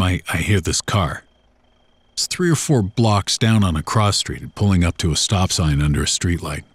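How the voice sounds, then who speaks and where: A man tells a first-person horror story in a casual, folksy, unhurried, conversational way, like someone recounting his own memories. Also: deep voice, breathy edge, dry recording. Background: background music.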